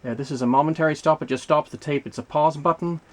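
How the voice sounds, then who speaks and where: A man giving an unscripted, hands-on technical demonstration as a video host, explaining things in a measured, methodical way. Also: on the high side, steady tone. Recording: clear background noise, mechanical clicks.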